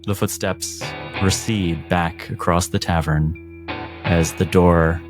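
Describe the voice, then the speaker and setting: A man narrating a tabletop game as game master, measured and descriptive. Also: sits low, fairly even intonation, over music.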